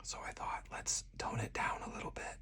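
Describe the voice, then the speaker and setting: Hushed whispering ASMR game host. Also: normal speed. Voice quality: soft breathy whisper. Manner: hushed, narrating each move aloud step by step. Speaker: host of a solo card game channel.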